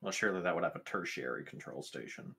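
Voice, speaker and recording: A man's voice: deep voice, lively intonation, fast talker, vocal fry, bright, forceful tone, husky. A man bantering casually as a player in a tabletop game.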